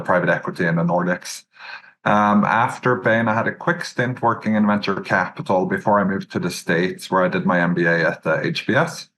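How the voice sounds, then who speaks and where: A Norwegian man in his thirties with a Norwegian accent, a podcast guest telling his career story in a measured way, with many "uh"s and "um"s. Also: fairly low pitch, a bit bright. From Norway. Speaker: podcast guest, search fund entrepreneur. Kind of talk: relaxed interview answers.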